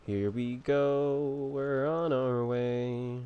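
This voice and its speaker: A man's voice: medium pitch, little pitch movement, drawn-out words, clear, resonant tone, highs cut off. A man giving casual, laid-back gameplay commentary in short offhand reactions. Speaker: gamer reacting to a game.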